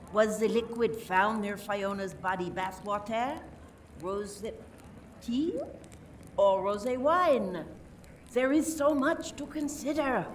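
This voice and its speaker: A woman playing a suspicious, politely condescending French police inspector in an amateur radio play, deliberate and theatrical. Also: on the high side. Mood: probing. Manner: deliberate, theatrical, repeats words for emphasis. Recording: distant mic, faint noise, room ambience.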